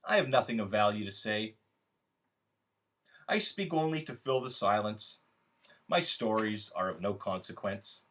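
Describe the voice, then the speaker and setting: A man's voice: fairly low pitch, expressive, unhurried, pauses often, a bit bright. Male author voicing an aggrieved, lonely talker for a literary short story; sarcastic, needy, emphatic. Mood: bitter resignation. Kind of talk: dramatic first-person literary reading.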